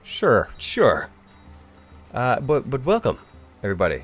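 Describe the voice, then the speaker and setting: A man's voice: mid-range voice, huge pitch swings, unhurried, soft background music. A man doing casual gameplay commentary with a slightly congested, froggy voice, rambling, chuckling and thinking aloud. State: congested, a bit froggy from the sniffles. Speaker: gaming YouTuber.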